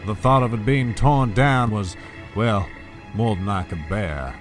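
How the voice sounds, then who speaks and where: A man's voice: on the low side, a little slow, faint music behind, lightly acted. A man acting a suave, sentimental older schemer in a soap-opera audio drama. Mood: wistful, courtly.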